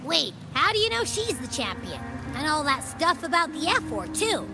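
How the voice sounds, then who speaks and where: An actress playing a curious, naive young traveler in a fantasy video game, expressive and questioning. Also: female voice, sits high, moderate pace, music in the background. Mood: surprised, sheepish. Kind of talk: game character dialogue.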